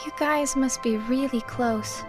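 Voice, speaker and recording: Shy game heroine, teen girl, high voice, sweet, tentative, music underneath. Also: a little slow. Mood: shy, hopeful. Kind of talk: video game cutscene acting.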